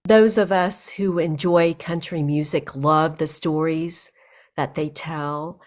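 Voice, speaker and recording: A woman hosting a news program, asking measured, scripted interview questions. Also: deep voice, fairly even intonation, darkish tone. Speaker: news host. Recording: music bed underneath.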